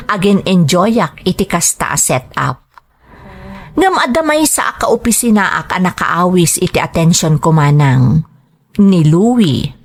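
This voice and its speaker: A woman with an Ilocano Filipino accent playing a flirtatious office worker in a drama. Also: on the low side. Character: flirtatious playgirl office worker pursuing a married male colleague. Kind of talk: Ilocano radio-style drama acting.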